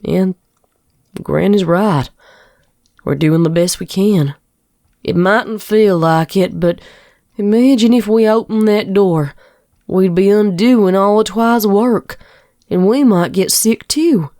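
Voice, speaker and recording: Casting: woman to play protective farm pony sister for audio storybook, unhurried, folksy, heartfelt. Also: mid-range voice, dark tone. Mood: gently reassuring. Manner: folksy, slow, tender and emotional. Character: earnest young-adult female farm pony, protective big sister. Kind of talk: dramatic fan fiction reading. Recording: music behind the voice.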